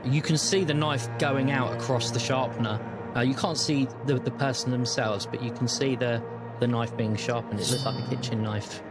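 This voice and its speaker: A British man narrating as game master of a tabletop game, measured and slowing for suspense. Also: medium pitch, steady tone. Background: loud music.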